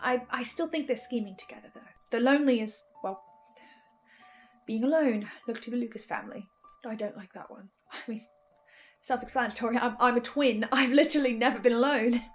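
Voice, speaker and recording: A woman host giving an informal fan recap and theory commentary, with animated asides that interrupt her own train of thought. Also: on the high side, brisk, faint music behind. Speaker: host, first-time listener reviewer.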